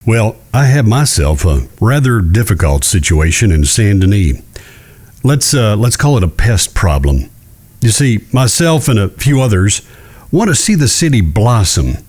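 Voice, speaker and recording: An actor playing a shrewd, courteous judge who speaks in a measured, genteel way in a western audio drama. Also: male voice, on the low side, big pitch swings, a little mellow. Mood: measured, persuasive. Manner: measured, genteel, deliberate with careful pauses. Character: shrewd, courteous Old West male judge.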